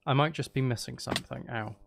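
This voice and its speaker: A man's voice: medium pitch, fast, gravelly, noisy background. A man streaming casually, thinking aloud and questioning himself as he works through a building task and chats with viewers. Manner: musing, self-questioning, talking half to himself. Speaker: live streamer. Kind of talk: casual gaming livestream chat, thinking aloud while building.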